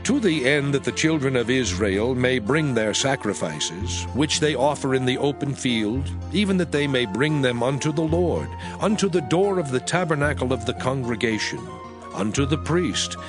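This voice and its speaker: Solemn male scripture narrator over music. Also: medium pitch. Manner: solemn, measured, declamatory. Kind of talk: dramatized audio Bible reading, formal narration.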